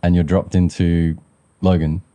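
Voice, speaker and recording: Host, male, very deep and mellow, attentive listener, dry close sound. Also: little pitch movement, unhurried, no room sound. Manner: attentive, frequent short backchannels. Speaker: podcast host. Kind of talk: casual interview.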